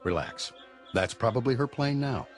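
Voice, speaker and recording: An actor playing a fatherly sanitarium doctor, measured and reassuring, in a radio drama. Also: mid-range voice, big pitch swings, soft background music.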